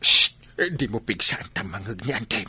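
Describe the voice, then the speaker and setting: Stammering, husky, henpecked village captain played by a man. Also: lowish voice, expressive, a little fast, sharp tone, exaggerated voice. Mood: flustered. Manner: stammering, hesitant, evasive. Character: village captain, reluctant bather, sheepish henpecked husband. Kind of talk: Ilocano comedy radio drama acting.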